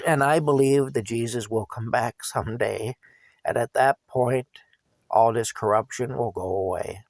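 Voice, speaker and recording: A man, likely older, talking earnestly and plainly to his viewers in a candid monologue, his throat going hoarse. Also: mid-range voice, unhurried, a bit bright, no room sound. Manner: earnest, plain-spoken, repeats himself for emphasis. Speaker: vlogger.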